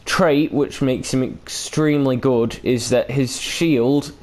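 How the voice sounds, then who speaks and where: A man's voice: medium pitch, fairly even intonation, takes it slowly, a little mellow. A man hosting a gaming video with casual, unscripted commentary, rambling and hesitant with loose, sweary language.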